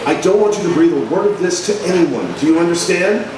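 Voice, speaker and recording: A man's voice: sits high, expressive, brisk. Man playing an earnest reporter in a very echoey hall. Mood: urgent, earnest. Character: calm, probing male newspaper reporter. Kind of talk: old-time radio drama acting. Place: live stage recreation at a festival. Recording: echoey hall, distant mic, noisy.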